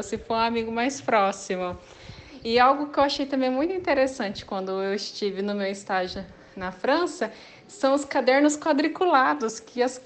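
A Brazilian woman with a São Paulo countryside accent and rolled R, sending a friendly, measured voice message. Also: a little high. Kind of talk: recorded voice message.

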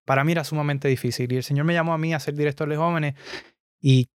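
A man's voice: fairly high pitch, fairly even intonation, quick pace, smooth, no room sound, clean studio sound. A young man from Puerto Rico with a Puerto Rican Spanish accent, speaking earnestly on a casual podcast. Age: young adult. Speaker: podcast co-host.

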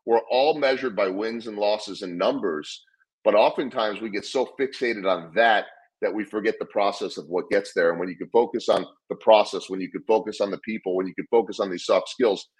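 A man's voice: on the low side, breathy edge, rough recording. A middle-aged man with an earnest manner, telling stories and explaining ideas as a guest in a conversational interview, often repeating words as he restarts. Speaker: guest speaker on leadership.

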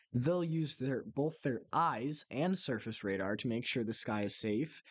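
A man with a mid-pitched voice co-hosts a casual explainer podcast. He talks in a joking, chatty way and keeps interrupting himself with asides. The sound is dry, with no room echo. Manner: jokey, self-interrupting asides. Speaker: podcast co-host.

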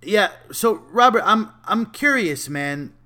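A man interviews his guest in a relaxed, conversational style. His voice sits a little high and has a clearly bright edge. He comes across as curious and encouraging as he frames his questions. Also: takes it slowly, slight background noise. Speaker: interviewer, host.

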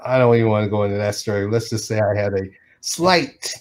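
A man's voice: lowish voice, brisk. A middle-aged man from Detroit, an animation artist, telling stories in a casual interview, acting out quotes and laughing often.

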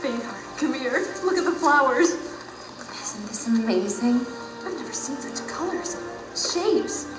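A woman playing an earnest young traveller in a fantasy audio drama, expressive and full of wonder. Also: high voice, slow pace. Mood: awed, delighted. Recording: roomy, off-mic, music behind.

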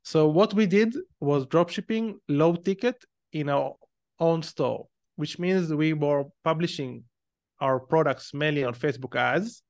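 A man's voice: on the high side, a little slow, a little mellow, no room sound, clean studio sound. A man in his thirties with an Israeli accent, a podcast guest telling his story and explaining things step by step. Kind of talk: conversational interview.

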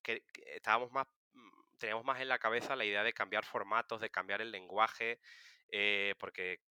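A man's voice: fairly low pitch, fairly even intonation, moderate pace, bright, forceful tone, smooth, no room sound, studio quality. A man in his thirties from Seville, a podcast guest casually telling stories and explaining in informal, self-correcting speech. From Seville, Spain. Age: 30s. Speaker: interview guest, political science communicator. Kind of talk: casual conversational storytelling.

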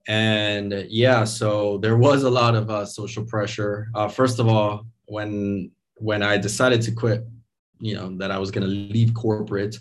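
A man in his thirties, from Venezuela and raised in the States, chats as an interview guest. His voice sits low and stays fairly flat. He rambles with plenty of fillers and restarts. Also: measured pace. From Venezuela, raised in Miami. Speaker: interview guest, digital nomad. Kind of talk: casual interview talk.

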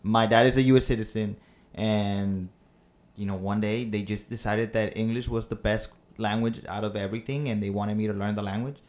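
A man's voice: lowish voice, steady tone, fairly quick, darkish tone. A man in his thirties, originally from Mexico, co-hosting a casual podcast chat in a laid-back, joking way. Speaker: podcast co-host.

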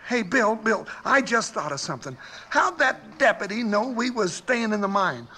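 A man playing an excitable, folksy sidekick in a 1950s radio western, with a high, raspy voice and an American Western drawl. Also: normal speed, a little hiss or hum. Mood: excited, suspicious. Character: excitable folksy old-West sidekick of the marshal.

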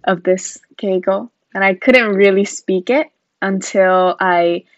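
A woman's voice: mid-range voice, slow, no room sound. Young woman, from Japan, earnest casual talk, dry slightly dull recording. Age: young adult. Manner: earnest, thoughtful, with frequent fillers. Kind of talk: casual conversation.

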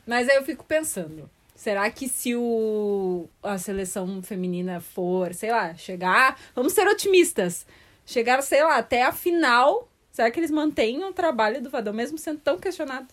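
A woman co-hosts a casual sports chat. Her voice is fairly high and swings widely in pitch. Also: expressive, moderate pace, fairly bright tone. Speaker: podcast co-host.

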